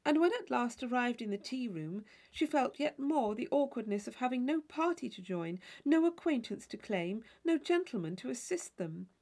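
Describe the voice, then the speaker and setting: A woman's voice: on the high side, expressive, normal speed, few pauses, darkish tone. A woman reading a classic novel aloud as scripted literary narration. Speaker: audiobook reader.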